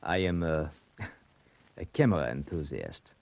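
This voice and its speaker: A gravelly, sly villain. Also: male voice, very deep voice, very animated, no room sound, heavily acted. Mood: menacing. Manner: slow, formal, mocking, chuckling. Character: smooth sinister male foreign-sounding villain. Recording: dull old radio recording.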